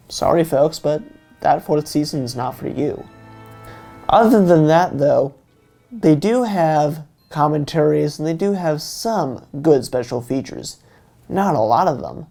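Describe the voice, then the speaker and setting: A man's voice: on the high side, creaky, dark tone. A man giving a scripted video review in an earnest, enthusiastic fan's delivery. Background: faint music.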